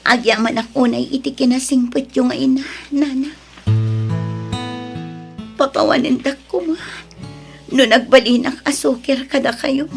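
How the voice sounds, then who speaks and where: A woman playing a repentant mother in an Ilocano audio drama, speaking haltingly with an Ilocano accent. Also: high-pitched, gravelly. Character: soft-spoken repentant mother, daughter-in-law seeking forgiveness. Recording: music under the voice.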